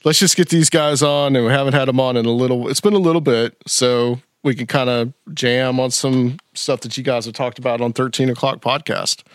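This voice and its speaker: A man hosting a podcast, easygoing and enthusiastic in relaxed chat. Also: mid-range voice, brisk, hardly any pauses, dry recording, clean studio sound. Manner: easygoing and enthusiastic, fillers and restarts. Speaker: podcast host.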